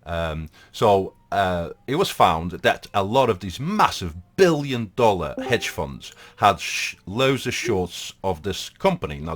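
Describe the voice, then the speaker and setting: A man from Portugal with a Portuguese accent, hosting a casual live talk in a rambling way, with many fillers and restarts. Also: low voice. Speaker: host.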